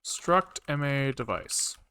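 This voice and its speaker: A man with a mid-pitched voice talks to himself while coding on a livestream. He mutters bits of code in fragments with casual swearing, recorded dry and close to the mic. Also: expressive, takes it slowly, pushed and bright, smooth, no room sound. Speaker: programming livestreamer.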